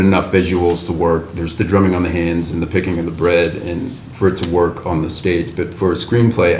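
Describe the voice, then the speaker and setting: A man in a writers' group, thinking aloud as he critiques a script in a casual discussion. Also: low-pitched. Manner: thinks aloud, rambling with restarts. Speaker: writers' group member giving feedback. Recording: roomy table recording, faint background noise.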